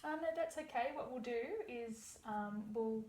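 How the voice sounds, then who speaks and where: A woman's voice: a little high, unhurried, darkish tone. A young woman explaining an iridology consultation step by step in a reassuring way. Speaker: iridology practitioner. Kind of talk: practice consultation. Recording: echoey room, faint background hum.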